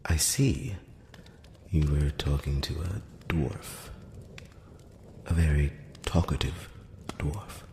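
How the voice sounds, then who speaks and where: A man voicing an ancient, courtly male elf emissary in a soft, whispery, close-up ASMR roleplay, speaking with formal, slightly condescending courtesy. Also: reverberant, in a large hall, a little hiss or hum, exaggerated voice. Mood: amused, musing. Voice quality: very low, soft, often slipping into whisper. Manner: slow, hushed, measured, with formal courteous phrasing. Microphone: close-up microphone.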